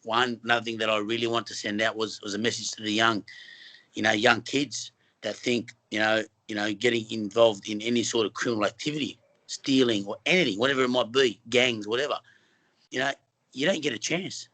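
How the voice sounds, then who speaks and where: A man's voice: on the low side, steady tone, a little fast, bright, forceful tone. A middle-aged Australian man from Western Sydney, speaking as a film actor guest in an earnest, rambling way with many fillers and restarts. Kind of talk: casual interview conversation.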